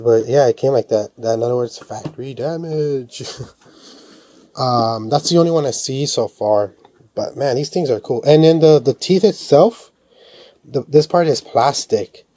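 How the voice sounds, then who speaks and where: A man's voice: mid-range voice, mellow, no room sound. Casual man reviewing collectibles, dry close sound. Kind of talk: casual unboxing review vlog.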